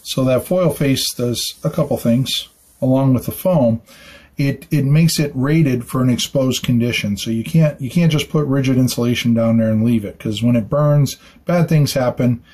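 Husky-voiced man chatting through a building detail. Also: medium pitch, normal speed, darkish tone. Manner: chatty and informal, thinking aloud with fillers and tag questions. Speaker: architect explaining building details. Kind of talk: casual technical explainer. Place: small studio room with dark curtains.

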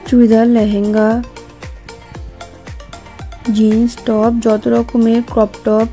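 A woman's voice: fairly high pitch, completely flat delivery, slow pace, mellow, soft background music. A woman vlogger, giving a casual voice-over narration in short phrases.

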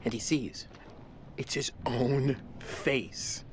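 An actor playing a young man telling a ghost story to friends, slow and suspenseful with hushed drops. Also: mid-range voice, fairly even intonation, a little hiss or hum. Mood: spooky. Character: young man telling a spooky campfire tale. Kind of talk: video game cutscene acting. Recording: game audio with light ambience.

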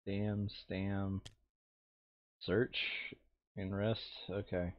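A man's voice: deep voice, level tone, drawn-out words, dry recording. A man in a casual board game session, reading out moves and explaining rules in an instructive, insistent way. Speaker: tabletop game player reading out enemy moves. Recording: dull, lo-fi microphone sound.

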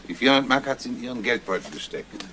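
An actor playing a bereaved nephew who gives hesitant, terse answers under questioning in a German crime radio drama. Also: male voice, medium pitch, lively intonation, fast talker, close to an everyday voice. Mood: guarded, factual. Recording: clear background noise.

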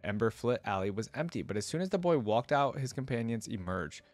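A man in his thirties hosting a reaction video in a casual, conversational way. His medium-pitched voice is recorded dry and close on a desk mic. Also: lively intonation, fairly quick, dry recording, faint music behind. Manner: conversational, checks in with "you know". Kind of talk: casual reaction commentary and story reading. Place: small indoor streaming room. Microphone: desk microphone up close.

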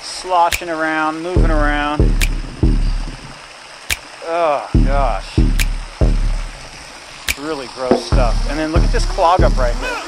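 Slow low-voiced woman vlogging outdoors. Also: poor audio. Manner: exclaiming in disgust while pointing out trash. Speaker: urban explorer vlogger. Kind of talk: casual walk-and-talk narration. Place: outdoors by a storm drain channel. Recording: loud music over the voice.

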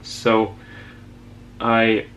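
Guy showing a hobby build, slow and kinda halting, mid pitch, bit of noise in the background. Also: completely flat delivery, fairly bright tone. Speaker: amateur radio hobbyist presenter. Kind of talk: casual hands-on project demonstration. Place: indoor home workshop.

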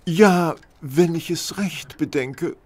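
An actor playing a mild, pious, frail vicar who speaks hesitantly and courteously in a radio play. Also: male voice, on the high side, takes it slowly, a little mellow. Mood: mild, polite. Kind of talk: German radio play acting.

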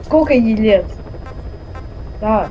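A woman's voice: a little high, expressive, slow pace, mellow, a little hiss or hum, poor audio. A woman chatting casually during a game, teasing and insistent, repeating herself. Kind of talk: casual chat while gaming.

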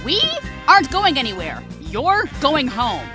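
Casting: woman as aggressive cartoon hellhound girl, bright high voice, foul-mouthed sarcasm, music underneath. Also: huge pitch swings, a little slow, heavily acted. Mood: irritated. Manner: snarling, sarcastic, swearing. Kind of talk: animated fan audio drama acting. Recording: loud background music.

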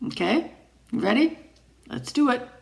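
Woman, 40s, children's read-aloud teacher. Unhurried, simple phrasing, noticeable vocal fry. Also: fairly high pitch, big pitch swings. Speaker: teacher reading stories to children. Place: indoors, wood-panelled room.

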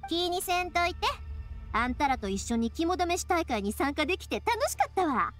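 A woman's voice: extremely high pitch, sing-song, normal speed. A woman playing a cheerful, curious young woman in a video game, with a friendly manner and a Kansai accent. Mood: friendly, easygoing. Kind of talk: Japanese video game voice acting. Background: background music.

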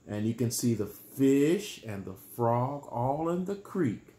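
Slow, expressive older man reading a storybook. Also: mid-range voice, echoey room, slight background noise. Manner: explains things simply and patiently, as to young children. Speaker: storyteller reading a children's picture book. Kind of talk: bedtime story read aloud with simple explanatory asides. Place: small room indoors.

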